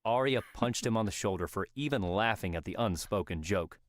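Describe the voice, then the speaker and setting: A man's voice: lowish voice, expressive, a little fast. A man reading scripted story narration as an audiobook narrator. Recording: music under the voice.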